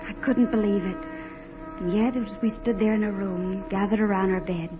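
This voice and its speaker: An actress playing a naive, tender young woman, earnest and gentle, in 1940s radio drama style. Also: mid-range voice, fairly even intonation, moderate pace, over music, lightly acted. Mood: reflective, troubled. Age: young adult. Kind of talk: 1940s radio acting, dramatic narration and dialogue. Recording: muffled old radio broadcast.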